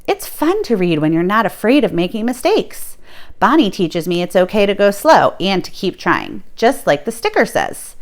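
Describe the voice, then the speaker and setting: A woman's voice: mid-range voice, very animated, moderate pace. A woman hosting a read-aloud for young listeners, speaking expressively and directly to them.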